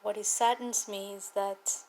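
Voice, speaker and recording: A woman from Cuba with a Cuban accent, a native Spanish speaker, giving an earnest, emphatic personal testimony and political appeal with deliberate repetition. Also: medium pitch, flat delivery, a little mellow, slight background noise. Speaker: political activist speaking to viewers.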